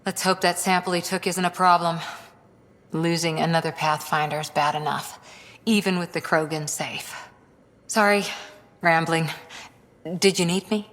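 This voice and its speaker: A woman's voice: on the low side, fairly even intonation, measured pace, pauses often, fairly bright tone, long reverb tail. A woman playing an earnest, concerned crewmate in video game dialogue, serious but conversational. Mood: worried.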